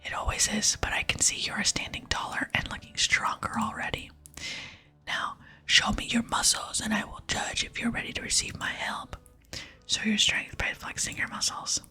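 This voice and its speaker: A woman's voice: mid-range voice, monotone, moderate pace, pushed and bright, slightly rough, full character voice. A woman whispering the game dialogue of an encouraging, approving fantasy quest ally in ASMR style.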